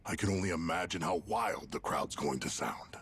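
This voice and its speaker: Video game villain, male: polished, menacing businessman-gangster, formal and superior, deep register, swinging delivery. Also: expressive, normal speed, fairly bright tone, a little hiss or hum. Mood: suave, scheming. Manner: smooth formal menace, condescending. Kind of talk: dramatic video game voice acting. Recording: game music behind.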